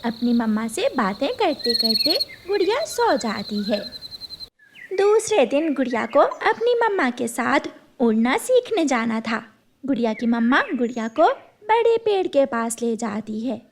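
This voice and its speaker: A woman narrating a children's cartoon story in a gentle storybook manner. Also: on the high side, sing-song, a little slow, slight background noise. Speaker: narrator and voice of all figures.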